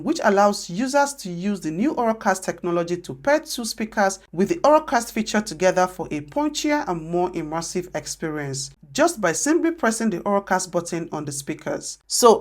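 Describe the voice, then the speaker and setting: A woman narrating a scripted product review as the presenter. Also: fairly low pitch.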